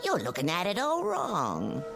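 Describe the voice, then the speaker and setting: A woman's voice: fairly low pitch, wildly swooping pitch, measured pace, creaky, music in the background, exaggerated voice. An actress playing a sly, mocking old backwoods witch with a Southern US accent and a taunting drawl in a radio drama. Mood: taunting, cold.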